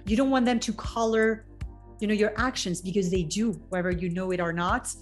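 A woman's voice: mid-range voice, fairly even intonation, fairly quick, soft background music. A middle-aged woman from France with a French accent, a love coach chatting earnestly as a guest and explaining her ideas with frequent "you know". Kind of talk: casual interview chat.

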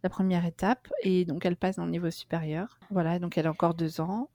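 A woman's voice: fairly low pitch, little pitch movement, brisk, dark tone, dry recording. A middle-aged French woman, a guest in a casual interview, talking chattily with many fillers and restarts.

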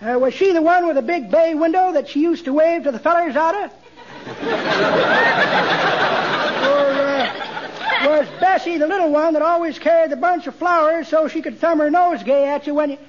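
Very high-voiced folksy old codger. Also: male voice, fairly quick, crowd noise. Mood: musing, teasing. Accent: American. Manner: folksy rustic drawl, teasing and rambling. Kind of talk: 1940s radio comedy acting. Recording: dull noisy 1940s radio, audience behind.

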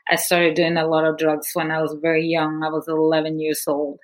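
Woman guest from Guatemala, lower register, candid storyteller. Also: completely flat delivery, a little fast. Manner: candid, with frequent fillers. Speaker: guest, movement founder. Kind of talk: personal story interview.

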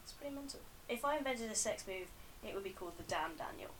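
A woman's voice: medium pitch, expressive, fast. A woman with a nasal voice, co-hosting a podcast in casual, giggly banter. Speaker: podcast co-host. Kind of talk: casual chat.

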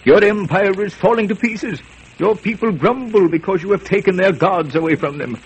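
A man playing a stern old high priest who warns and declaims in a raised voice. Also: expressive, moderate pace, breathy edge, lo-fi sound, heavily acted. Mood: stern warning.

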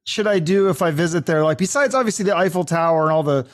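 An eager man hosting a casual interview, chatty and curious. His voice sits somewhat high for a man. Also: fast. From United States. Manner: chatty, eager, asks questions. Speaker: podcast host.

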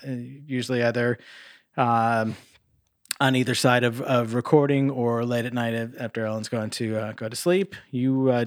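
A man's voice: medium pitch, no echo. A man with a mid-range voice co-hosts a podcast in a loose, casual way. He is laid-back and self-deprecating with some swearing, and the recording is very dry. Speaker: podcast co-host. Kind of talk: casual chat.

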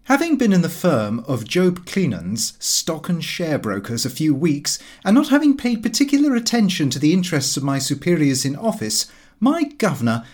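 A man's voice: fairly high pitch, a little mellow, pitched above the performer's own voice. A man voicing a brash, breezy young clerk's son full of slang and self-assurance in an audiobook. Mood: triumphant.

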